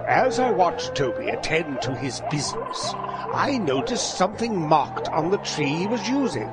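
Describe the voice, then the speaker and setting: An actor playing a pompous male detective, telling his exploits theatrically and deliberately in a comic radio play. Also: a little high, very animated, over loud music. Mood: grave narration.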